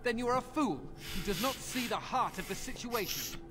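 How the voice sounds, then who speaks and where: An actor playing a tormented, fanatical young templar prisoner in a video game, speaking formally with anguished intensity. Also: male voice, extremely high pitch, expressive, fairly quick, noisy background. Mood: grim urgency. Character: tormented captive templar young man, fanatical and distrustful of mages. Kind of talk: dramatic video game voice acting. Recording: music behind the voice.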